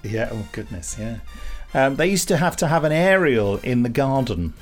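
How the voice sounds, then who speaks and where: A man's voice: medium pitch, very animated, normal speed, faint music behind. A British man co-hosting a podcast in casual chat, with a dry, wry sense of humour. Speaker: podcast co-host.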